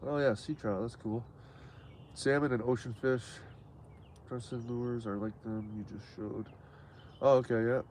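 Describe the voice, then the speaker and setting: A man's voice: medium pitch, fairly even intonation, unhurried, long pauses, a little mellow, slight background noise. A man with a stuffy nose, casually talking through a live fishing stream from out on a lake, with lots of fillers and restarts. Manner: rambling, many fillers and restarts. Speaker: fishing livestream host.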